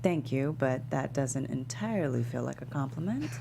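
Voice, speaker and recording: A very low, creaky female voice from an actress plays a celebrated talk show host in a script read. She is weary yet warm and self-assured. There is a faint hum behind her. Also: animated, moderate pace, full character voice. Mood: playful, firm. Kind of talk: table read of a screenplay.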